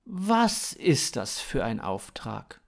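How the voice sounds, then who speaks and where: A man's voice: medium pitch, very animated, slow, gravelly, heavily acted. A man voicing a bewildered, argumentative ordinary earthling with an indignant, questioning manner in an audio story. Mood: indignant, baffled.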